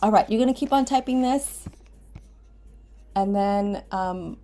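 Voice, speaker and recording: An American woman with a medium-pitched voice talks as a writing teacher in a screen tutorial. She gives simple, step-by-step instructions and often checks in with a short okay. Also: a little slow, dark tone, a little hiss or hum. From Washington State, United States. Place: indoors at a computer, screen recording with webcam.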